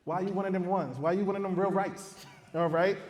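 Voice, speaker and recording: A man's voice: sits high, fairly quick, reverberant, long reverb tail. A man hosting a live panel and hyping up each guest as he introduces them. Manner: hype, energetic introductions. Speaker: podcast host and panel moderator.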